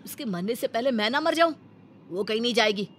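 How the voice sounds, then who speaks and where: A woman's voice: fairly high pitch, lively intonation, a little fast, fairly bright tone, a little hiss or hum. A woman voicing an earnest, devoted young husband in a cartoon story, insistent and pleading. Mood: pleading, defiant.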